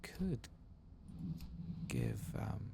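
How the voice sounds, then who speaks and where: A soft-spoken man murmuring ASMR commentary as he plays a video game. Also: extremely slow. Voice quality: deep, gravelly. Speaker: video game streamer and host. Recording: heavy background noise with game ambience.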